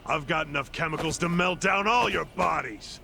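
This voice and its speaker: A man's voice: a little high, normal speed, gravelly, pushed and bright, faint music behind. A man playing a smug, menacing yakuza villain who runs a facility in a game cutscene, condescending and threatening. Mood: self-righteous, menacing. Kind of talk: dramatic game cutscene voice acting.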